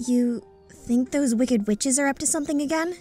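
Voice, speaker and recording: A gossipy and deferential palace maid acted by a woman, with a high voice. It is a fairy-tale game performance with faint music behind. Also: normal speed, warm tone.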